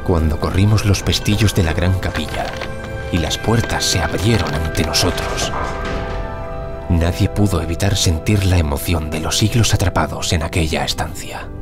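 An actor playing an awed, reflective archaeologist in an audio drama, speaking with solemn, measured wonder. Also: male voice, deep voice, lightly acted. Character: Howard Carter, English archaeologist, awed, intense, reflective. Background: music behind the voice.

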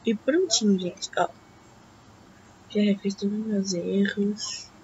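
A teenage girl from Brazil with a Brazilian Portuguese accent, hoarse from a cold, chatting hesitantly through a drawing tutorial at home. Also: medium pitch, fairly even intonation, slow pace, crowd noise. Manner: chatty, hesitant, talks to viewers as "gente". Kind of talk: casual screen-recorded tutorial. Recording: dull lo-fi mic with faint hiss.

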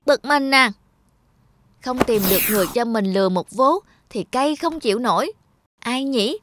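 A woman voicing a mischievous, expressive little boy in a cartoon dub, with a childlike manner. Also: fairly high pitch, moderate pace, noisy background, natural voice. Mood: scheming. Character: mischievous little boy prankster who turns remorseful. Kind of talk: cartoon dubbing. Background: music.

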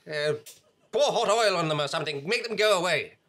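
A man playing an impatient, grandiose feudal villain in a live comedy radio play, haltingly pompous and flustered. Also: sits high, animated, pushed and bright, exaggerated voice. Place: small fringe theatre stage, indoors. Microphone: stand microphone.

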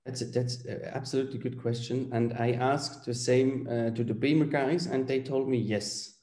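A man in his forties speaks as a guest vet on a video call, pausing often with fillers. His tone is mellow and dark, and the room around him rings with echo. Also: medium pitch, steady tone. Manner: hesitant, many fillers. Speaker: veterinary surgeon guest. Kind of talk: answering questions on a webinar. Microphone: video call webcam.

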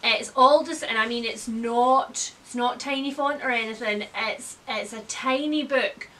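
A woman in her thirties giving an informal, animated book review, chatting indoors. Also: fairly high pitch, measured pace. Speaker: book vlogger. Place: indoor room with bookshelves.

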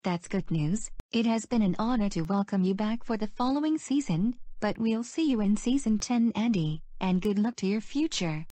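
A cartoon game show host, played by a woman with a mellow, dark-toned voice. She talks effusively and circles back over her offer, recorded dry and close. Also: mid-range voice, expressive, moderate pace, few pauses, no echo, lightly acted. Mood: enthusiastic. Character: female game show host, enthusiastic and warm. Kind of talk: amateur animated show voice acting.

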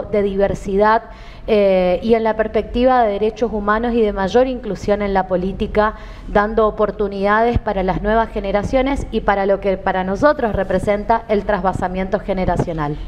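A woman's voice: mid-range voice, darkish tone, long reverb tail, dull audio, a little hiss or hum. Fluent woman politician. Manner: fluent, measured political speech. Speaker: government minister and candidate. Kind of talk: TV studio interview. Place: TV studio. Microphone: headset mic.

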